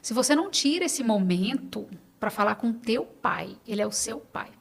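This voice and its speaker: A woman's voice: on the high side, expressive, normal speed, creaky. A middle-aged Brazilian woman speaking Portuguese as a podcast guest, in casual, earnest personal conversation, repeating phrases for emphasis.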